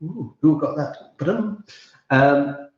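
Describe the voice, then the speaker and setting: A man's voice: on the high side, lively intonation, takes it slowly. A man from Belgium hosting a pub quiz stream, chatting casually and reading out messages in a meandering, friendly way. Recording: roomy, slightly dull audio.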